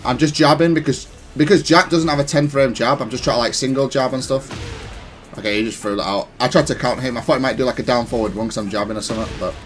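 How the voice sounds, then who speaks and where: A man giving casual, analytical commentary on his own gameplay, self-critical but matter-of-fact and even-tempered. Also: mid-range voice, fast, soft background music. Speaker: gaming content creator commentating on own matches.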